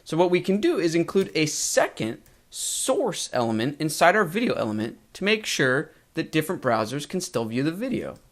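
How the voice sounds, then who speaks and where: A man narrating a scripted tutorial as a course instructor, measured and clearly enunciated. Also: fairly high pitch, big pitch swings. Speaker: web design course instructor.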